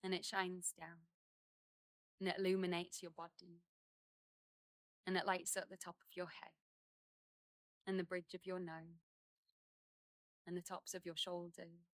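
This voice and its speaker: A woman guides a meditation in a slightly low voice that barely moves in pitch. Her phrases are gentle and unhurried, with long pauses between them. The sound is dry and close, with hardly any echo, though she is speaking outdoors in a garden. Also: level tone. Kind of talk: guided meditation, slow and soothing instruction.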